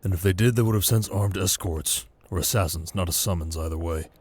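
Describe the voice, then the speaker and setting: A man playing a shrewd, wary merchant baron in an audio roleplay, speaking in a measured, dry, guarded way. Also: deep voice, darkish tone. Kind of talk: ASMR audio roleplay acting.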